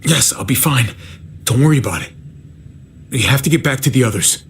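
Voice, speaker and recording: An actor playing a weary, protective uncle, a tough survivor in a video game drama. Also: male voice, mid-range voice, lively intonation, fairly quick, slight background noise, close to an everyday voice. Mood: strained, stoic.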